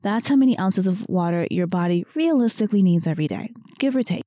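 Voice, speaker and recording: A woman hosting her own weight loss podcast while losing her voice, talking softer than usual in an encouraging tone. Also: mid-range voice, lively intonation, quick pace, no room sound, studio quality. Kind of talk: solo podcast talk.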